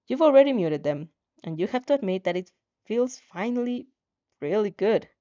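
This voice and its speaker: A woman reads second-person fan fiction with expression. Her tone is soft and mellow, her delivery informal with the odd stumble. The recording is a touch dull. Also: medium pitch, sing-song, moderate pace. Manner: informal, stumbles over lines.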